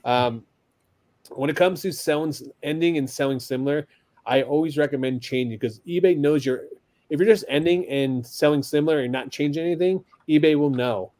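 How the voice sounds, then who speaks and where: A man's voice: a little high, a little fast, darkish tone. A man co-hosting a live panel chat in a casual way, speaking to his listeners as a group. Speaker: podcast co-host.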